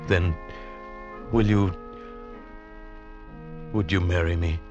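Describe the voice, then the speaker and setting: An actor in old-time radio drama playing an earnest, impassioned outsider in love, scorned as a village idiot. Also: male voice, low-pitched, fairly even intonation, takes it slowly, a little mellow, highs cut off, soft background music. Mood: tender, earnest. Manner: earnest, impassioned, hesitant in dialogue. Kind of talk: 1940s-50s radio drama acting and narration.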